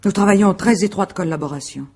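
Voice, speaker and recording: Radio mystery: proud wife under interrogation, husky, poised, formal, dryly ironic. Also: female voice, mid-range voice, fast, darkish tone. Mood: matter-of-fact. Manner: articulate, formal, self-assured, dryly ironic. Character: composed, proud wife and agency director under questioning. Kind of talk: French radio mystery drama acting.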